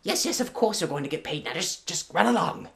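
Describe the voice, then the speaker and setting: Man putting on a creaky, scheming old woman. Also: high-pitched, animated, a little fast. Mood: impatient. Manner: impatient, dismissive, sly. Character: jealous, scheming old queen mother. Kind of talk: live folktale storytelling.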